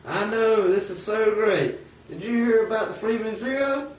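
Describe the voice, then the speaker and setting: A man's voice: high-pitched, expressive, moderate pace, pitched above the performer's own voice. A man voicing an earnest, defiant freed man in a puppet show, emphatic and indignant about equal rights. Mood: eager, earnest. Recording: roomy, distant, noisy amateur recording.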